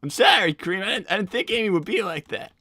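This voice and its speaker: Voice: medium pitch, animated, fast, sharp tone, dry recording, clean studio sound. A performer voicing a nervous, awkward young boy fox who stammers and rambles earnestly in improvised cartoon roleplay. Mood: apologetic, flustered.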